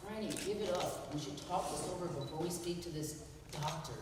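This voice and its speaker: An actor playing a brusque, dismissive husband in a stage play. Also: male voice, a little high, brisk, noisy background, lightly acted. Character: dismissive husband, greedy and impatient. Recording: echoey hall from far off.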